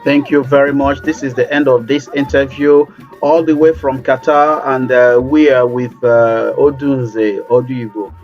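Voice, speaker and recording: A male interview host who talks haltingly with many fillers, wrapping up a remote interview. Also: medium pitch, darkish tone. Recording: dull sound with faint music.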